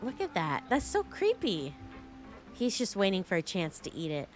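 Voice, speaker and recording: A woman's voice: mid-range voice, wildly swooping pitch, moderate pace, soft background music. A woman streaming a game with casual, chatty commentary in a playful, rambling manner.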